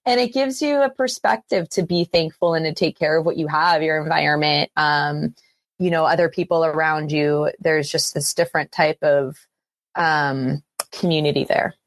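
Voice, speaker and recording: A woman's voice: low-pitched, moderate pace, no echo. A woman from Western Canada with a Canadian accent, speaking earnestly and thoughtfully as a filmmaker guest in a reflective interview.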